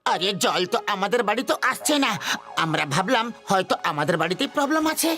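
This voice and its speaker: A man's voice: high-pitched, big pitch swings, fast talker, sharp tone, long reverb tail, soft background music, full character voice. An actor playing a sensible, good-hearted male sidekick in a cartoon. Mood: calm.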